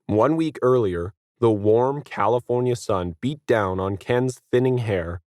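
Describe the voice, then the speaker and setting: A man's voice: mid-range voice, unhurried, darkish tone, no room sound, studio quality. A man reading scripted fiction as a story narrator.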